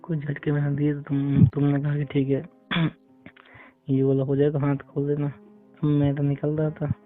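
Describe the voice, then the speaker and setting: A man chatting casually and intimately on a phone call, halting as he searches for words. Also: on the high side, completely flat delivery. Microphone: phone call recording. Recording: tinny phone line, music behind.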